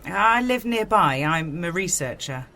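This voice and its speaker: A woman playing a brisk, cool researcher from the far future in a sci-fi audio drama, clipped and matter-of-fact. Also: fairly low pitch, animated, bright, forceful tone, a little hiss or hum, full character voice. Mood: polite, curious.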